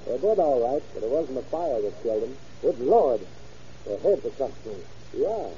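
An actor playing a businesslike, urgent American fire officer in an old-time radio drama. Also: male voice, medium pitch, expressive, moderate pace, dark tone, natural voice. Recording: muffled, noisy old radio recording.

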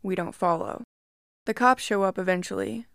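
A woman reads a first-person creepy story at a calm, measured pace, her voice smooth and resonant. She sits close to the mic in a dry room with no echo around her. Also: mid-range voice. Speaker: story narrator.

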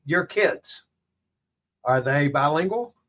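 A man's voice: on the high side, lively intonation, takes it slowly, fairly bright tone. A man hosting an interview podcast, chatty and joking, who often trips over his words. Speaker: podcast host.

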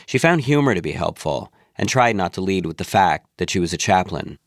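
Brisk wry male podcast narrator. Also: deep voice, big pitch swings, no echo, clean studio sound. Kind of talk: scripted narration.

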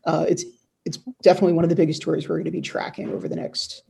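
Male news commentator, fairly high voice with fry, even intonation, halting conversational analysis. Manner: hesitant, halting, repeats words mid-sentence. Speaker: news editor and guest commentator.

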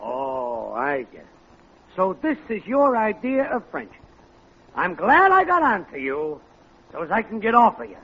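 Self-important American pal, wildly swinging intonation, mock-grandiose, 1940s radio sitcom, noisy aged recording. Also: male voice, high-pitched, wildly swooping pitch, a little slow, a bit bright, dull audio, full character voice. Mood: offended. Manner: mock-grandiose, easily offended. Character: self-important, scheming working-class American neighbor and pal.

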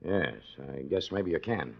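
Casting: easygoing American boss, animated low voice, vintage muffled 1940s radio drama. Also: male voice, measured pace, slightly rough, exaggerated voice. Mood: conciliatory. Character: affable American mine boss living in Mexico.

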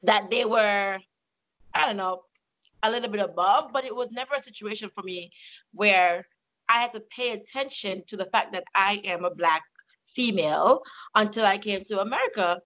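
A woman's voice: medium pitch, moderate pace, rough recording. A woman from Jamaica, telling a personal story in a casual chat with friends. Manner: storytelling, expressive. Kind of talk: casual group discussion.

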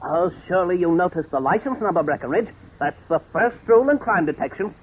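An actor playing a pompous, eager, bumbling amateur detective, exclamatory, in 1940s radio style. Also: male voice, high voice, expressive, fast, phone-quality sound. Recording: phone-thin, noisy old radio recording.

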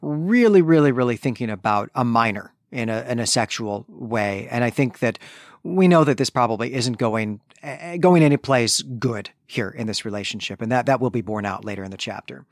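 A man with a mid-pitched voice works through a literary recap as a podcast co-host. He explains point by point in an analytical way, checking in as he goes. The sound is dry, with very little room in it. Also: lively intonation, moderate pace, no echo. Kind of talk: analytical book recap discussion.

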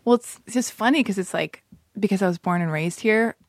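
A woman's voice: mid-range voice, fairly quick, dry recording. Chatty woman in her thirties, dry sound. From Los Angeles. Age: 30s. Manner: animated, laughing, exclaiming often. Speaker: podcast host. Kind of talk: casual chat.

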